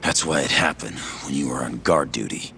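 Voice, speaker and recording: An actor playing a bitter, defiant yakuza gangster with a gravelly voice in a dramatic game dub. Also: male voice, extremely low pitch, moderate pace, bright, forceful tone, slight background noise, exaggerated voice. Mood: subdued, resigned. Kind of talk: English video game dub, dramatic acting.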